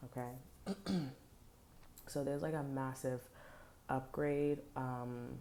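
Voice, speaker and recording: A woman giving a tarot reading from home, rambling with many fillers and restarts and speaking low so as not to wake a sleeping child. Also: fairly even intonation, slow, mellow, slight background noise. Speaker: tarot reader. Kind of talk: casual spiritual talk to viewers. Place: home at night. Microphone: headphones with mic.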